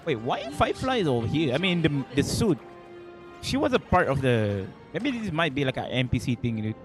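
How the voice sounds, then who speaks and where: A man's voice: a little high, sing-song, a little fast, music in the background. A man livestreaming casual gaming commentary, informal, enthusiastic and rambling.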